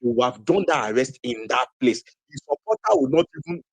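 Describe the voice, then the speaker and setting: A man's voice: medium pitch, huge pitch swings, a little fast, vocal fry, no room sound. A man with a Nigerian accent, arguing heatedly as a guest on a TV debate, repeating phrases for emphasis. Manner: impassioned, repeats phrases for emphasis, many fillers. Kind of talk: heated political argument.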